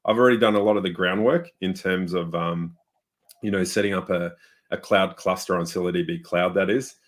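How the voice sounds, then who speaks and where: An Australian man with a low voice, speaking as a developer guest on a webinar. He talks in a relaxed, rambling way and often restarts his sentences. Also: brisk. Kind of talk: casual tech chat.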